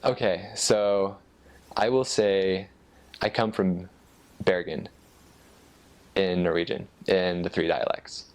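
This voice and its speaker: A man with an American accent giving a casual explanation, halting a little as he thinks aloud. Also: sits low, fairly even intonation. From United States. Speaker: former missionary explaining Norwegian dialects.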